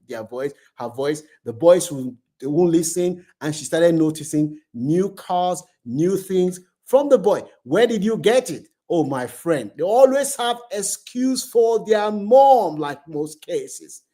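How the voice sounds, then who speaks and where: A male channel host with a voice pitched a little above average. He delivers moralising story commentary straight to his viewers. His phrasing is emphatic, often repeating itself to drive a point home. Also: mellow.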